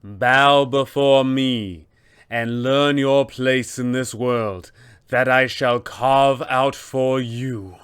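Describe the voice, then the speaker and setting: Man voicing a slow, imperious frost goddess villainess. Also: mid-range voice, steady tone, a bit bright, clear, resonant tone, dry recording, clean studio sound. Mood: imperious menace. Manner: slow, grandiose, menacing, drawn-out delivery. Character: haughty, cold winter goddess villainess, female deity.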